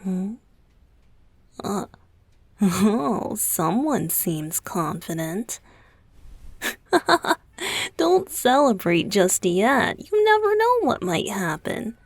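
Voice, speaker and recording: A woman playing a playful, affectionate young male idol boyfriend in an intimate ASMR roleplay, soft and often close to a whisper. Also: medium pitch, sing-song, no room sound, exaggerated voice. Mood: playful, competitive. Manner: teasing, coaxing, giggly, slow with pauses. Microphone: close studio microphone, dry.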